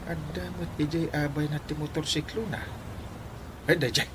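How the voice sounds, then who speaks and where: A man's voice: a little high, fairly even intonation, normal speed, noisy background, lightly acted. A man with a Filipino accent acting a nosy, overdramatic village sidekick in an Ilocano comedy drama. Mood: scandalized gossip.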